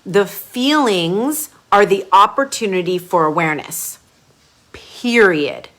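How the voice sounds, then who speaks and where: A woman brand coach leading a live group coaching session. She talks casually and chattily, with sudden gushing bursts of excitement. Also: mid-range voice, takes it slowly. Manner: casual, chatty, with frequent fillers.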